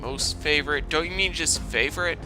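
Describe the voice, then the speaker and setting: A man's voice: on the high side, moderate pace, music in the background. A man doing a sarcastic, foul-mouthed dramatic reading with exasperated commentary, his throat affected by a lingering cough. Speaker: host of a storytime reading series.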